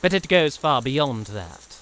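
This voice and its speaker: A man acts out a courtly young knight in an amateur radio play. He speaks slowly and grandly, with haughty, old-fashioned flourishes. His voice is mid-pitched, with noticeable noise behind it. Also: sing-song, fairly bright tone. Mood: grandly courteous. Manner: grandiose, archaic, theatrical delivery. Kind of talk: fan audio drama acting.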